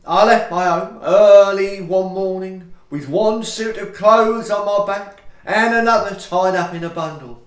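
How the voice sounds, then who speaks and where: An actor playing a touchy, grandiloquent Victorian gentleman lodger in a farce audio play. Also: high-pitched, unhurried, hardly any pauses. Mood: melodramatic. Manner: theatrical, grandiloquent. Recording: echoey room.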